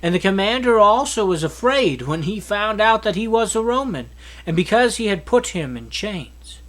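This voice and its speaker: Male Bible reader, notably high voice, expressive scripted narration. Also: moderate pace.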